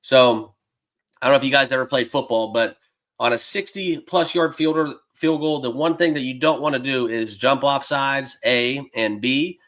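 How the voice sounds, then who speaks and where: Hoarse-voiced man hosting a betting livestream. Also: medium pitch, moderate pace, a bit bright. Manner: loose and rambling, lots of fillers; greets chat viewers by name. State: losing his voice, a bit hoarse. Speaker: sports betting livestream host. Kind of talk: casual livestream chat. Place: dedicated home studio room.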